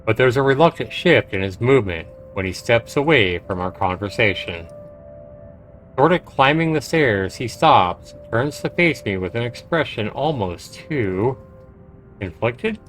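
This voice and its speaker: A man reading a visual novel aloud on a live game stream, with some halting pauses and stumbles. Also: medium pitch, animated, fairly bright tone. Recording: game music behind. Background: video game music.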